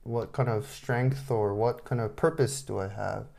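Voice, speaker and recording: A man in his twenties from Canada, whose first language is Japanese, speaking as an interview guest in a relaxed way with frequent restarts. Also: fairly low pitch, unhurried, vocal fry. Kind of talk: casual interview conversation.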